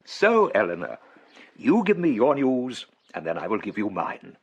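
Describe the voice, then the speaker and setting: An English actor playing a gentle, modest elderly clergyman and tender father in a radio drama, with a husky, courteous delivery. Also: fairly low pitch, huge pitch swings, measured pace, heavily acted. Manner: kindly, mild, courteous.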